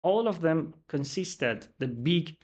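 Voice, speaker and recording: A man from Iran talks about his homeland in English with a Persian accent. His voice sits a little high, and he explains things slowly and patiently, often saying "definitely" as a guest on a podcast. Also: lively intonation, a little mellow, highs cut off. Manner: measured, explanatory, frequent "definitely" and "actually". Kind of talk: podcast interview, explaining culture.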